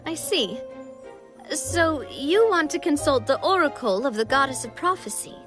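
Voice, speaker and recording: A woman voicing a confident, spirited young lady boss in a video game, speaking in a poised, expressive way. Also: high-pitched, normal speed. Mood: helpful. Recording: music playing behind the voice.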